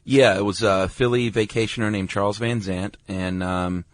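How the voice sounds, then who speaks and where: A man's voice: on the low side, steady tone, moderate pace, dry recording. An American man chatting casually as a podcast co-host, with informal, slangy phrasing. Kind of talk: casual conversational banter.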